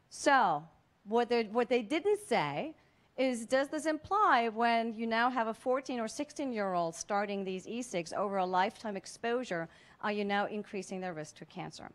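A woman in her forties giving a public science lecture on a museum cafe stage with a handheld mic, explaining in a conversational way with frequent restarts. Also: mid-range voice. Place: museum cafe stage before a seated audience, indoors.

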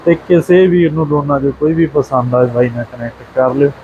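A man's voice: on the high side, little pitch movement, fairly quick, phone-quality sound, slight background noise. A young man hosting a dairy channel, walking through cows for sale and praising them in rhyming, doubled-up lists. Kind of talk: livestock sale walkthrough. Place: open cattle shed outdoors.

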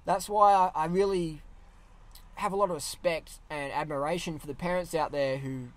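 Aussie bloke in his car on a dash cam, swears heaps, talks with his hands, fairly high voice. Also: moderate pace. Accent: Australian. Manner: blunt, swears a lot, animated hand gestures. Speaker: vlogger. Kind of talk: casual ranting vlog. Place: parked car. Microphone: camera on the dashboard.

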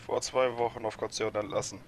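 Low-voiced, brisk police chief, played by a man. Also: fairly even intonation, background noise, natural voice. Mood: brisk, helpful. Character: male police chief, brisk, helpful, dry. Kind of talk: audio drama acting.

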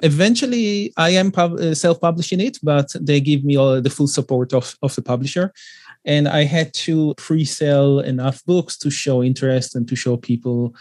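Soft-spoken man, dry close sound. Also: a little high, dry recording. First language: Hebrew. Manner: thoughtful, halting with many fillers and restarts. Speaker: author guest. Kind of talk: casual interview on a video call. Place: home room with paintings behind him.

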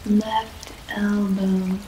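Very slow soothing woman guiding relaxation. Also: medium pitch, level tone. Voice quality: soft, soothing, unhurried. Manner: long pauses between short phrases. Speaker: yoga nidra guide. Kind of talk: guided relaxation meditation. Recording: echoey room, faint background noise.

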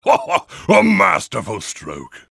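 A theatrical, old-fashioned British gentleman of a cartoonish game car. The voice is very gravelly and forceful, leaping up and down in pitch. Every phrase is pompous, plummy and exclamatory. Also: wildly swooping pitch, extremely slow, no room sound, clean studio sound, full character voice. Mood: gleeful, combative. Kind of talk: animated video game character barks.

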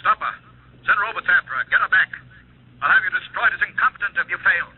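An actor in a 1950s radio drama playing an angry, threatening security director, curt and husky over an intercom. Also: male voice, high voice, fast, no room sound. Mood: furious. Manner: curt, imperious. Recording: tinny intercom in old radio recording.

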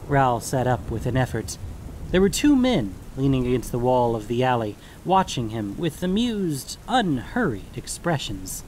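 A man's voice: medium pitch, big pitch swings, moderate pace, a little mellow, a little hiss or hum, poor audio. A man reading scripted fantasy fiction as the narrator of an audio story.

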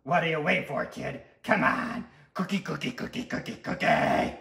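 A man's voice: a little high, expressive, normal speed, vocal fry, sharp tone, roomy sound, voice pushed up, exaggerated voice. A man voicing a bossy, greedy, wisecracking talking tooth from a children's storybook, brash and demanding. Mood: bossy, egging on.

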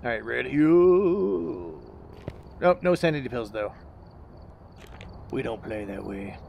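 A man's voice: medium pitch, animated, slow pace, darkish tone. A man chatting casually and informally as he streams a horror game, swearing and joking. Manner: informal, profane, jokey. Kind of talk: casual chat while playing a horror game. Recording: clear background game noise.

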